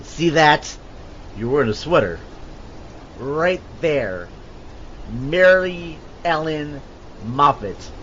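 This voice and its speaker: A man's voice: a little high, expressive, slow, long pauses. A man playing an eager, cocky young shark scientist in an amateur audio drama, bantering playfully and repeating himself. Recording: dull lo-fi audio with loud background noise.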